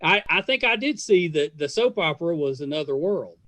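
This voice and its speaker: Halting, higher-voiced man interviewing casually. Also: lively intonation, a bit bright, dry recording. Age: 50s. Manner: halting, enthusiastic fan, trailing sentences. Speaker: podcast host.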